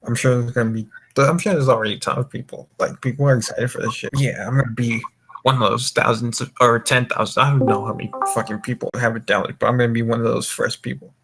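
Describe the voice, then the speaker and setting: A man's voice: mid-range voice, fairly even intonation, quick pace, creaky, fairly bright tone, a little hiss or hum, rough recording. A young man from the American Mountain Time zone hosting a group voice call, tipsy, rambling and swearing, stumbling over his words. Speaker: voice call host. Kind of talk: casual group voice chat.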